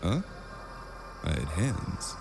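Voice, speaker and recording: Man, deep gravelly voice, slow brooding noir narration, music behind. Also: very animated, in a large hall. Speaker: narrator and lead character. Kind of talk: first-person noir audio drama narration.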